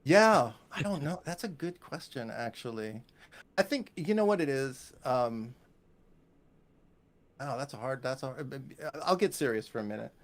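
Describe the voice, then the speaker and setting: A man's voice: mid-range voice, lively intonation, unhurried. American man in casual, rambling chat. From United States. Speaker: guest, composer and bass player.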